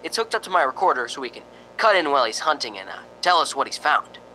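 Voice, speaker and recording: A man's voice: mid-range voice, sing-song, fast, bright, forceful tone, slight background noise, poor audio. A man playing a jittery, joking, skeptical radio reporter in an amateur radio drama, with a folksy, stammering delivery. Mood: uneasy narrating. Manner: folksy, wisecracking, nervous stammering.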